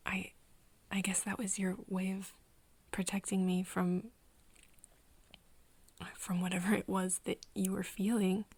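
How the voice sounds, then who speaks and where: ASMR roleplay lead: woman playing caring young female friend, breathy, hesitant, unhurried, very close dry sound. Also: mid-range voice, little pitch movement, no room sound. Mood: tender, hesitant. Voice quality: soft, breathy. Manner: hesitant, stammering, tender. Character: caring, flustered young woman soothing her vampire friend.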